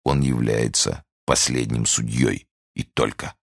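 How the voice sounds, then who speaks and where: A man voicing a pitiless executioner who speaks low, slow and quietly. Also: fairly even intonation, creaky, no echo, studio quality, exaggerated voice. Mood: grim calm. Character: grim executioner, calm and pitiless.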